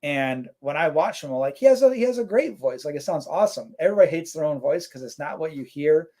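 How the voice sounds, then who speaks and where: A man's voice: fairly high pitch, quick pace. A man co-hosting a casual live stream, reading out chat comments and rambling with lots of fillers. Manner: relaxed, rambling, many fillers.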